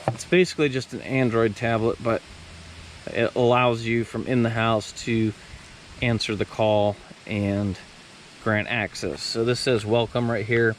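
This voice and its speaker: A man's voice: medium pitch, steady tone, a little slow, low-quality recording. A man giving a casual, plainspoken hands-on walkthrough, explaining things step by step as he reviews and installs a product. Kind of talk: casual hands-on how-to narration. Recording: clear background noise, ambient sound.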